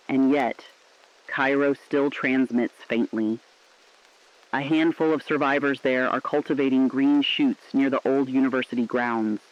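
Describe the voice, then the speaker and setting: A man's voice: medium pitch, muffled recording, lightly acted. A man playing a weary but hopeful broadcaster in an underground relay, speaking in a solemn, poetic, reflective style. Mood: grave, hopeful. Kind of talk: scripted apocalyptic radio broadcast narration.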